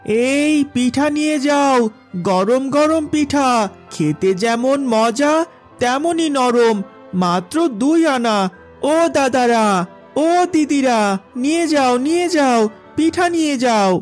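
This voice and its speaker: Man voicing a high-pitched earnest village boy. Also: animated, takes it slowly, soft background music, heavily acted. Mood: calling out wares. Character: Raju, earnest poor village boy, hardworking. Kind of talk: cartoon story dialogue.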